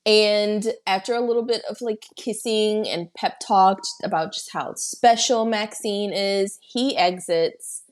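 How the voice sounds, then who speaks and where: A woman co-hosting a casual podcast about films. Her voice sits at an ordinary middle pitch, and she walks through the plot in a chatty way, breaking into lively asides. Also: takes it slowly, few pauses. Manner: chatty, retells scenes with excited quoted asides. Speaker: podcast co-host. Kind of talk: casual film-recap chat.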